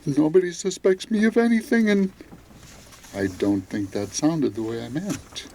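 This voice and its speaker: A slow, sardonic radio DJ. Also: male voice, a little high, creaky, warm tone, slight background noise. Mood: grudging. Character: sarcastic, needling male radio DJ who stirs trouble. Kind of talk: audio theater acting.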